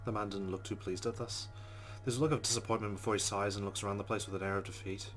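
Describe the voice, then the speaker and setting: A man hosting a visual novel playthrough who reads the narration aloud and drops in wry comments. He talks quickly in a lowish voice. Game music plays clearly behind him. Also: flat delivery. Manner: brisk, wry asides. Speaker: let's play host.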